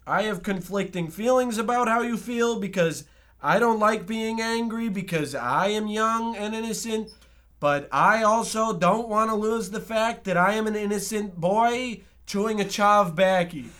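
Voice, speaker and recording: Dude playing a naive kid brother, high pitched, earnest, folksy old timey radio comedy. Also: male voice, normal speed, a bit bright. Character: naive, innocent, superstitious younger brother, a small-town boy. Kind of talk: amateur comic old-time radio acting.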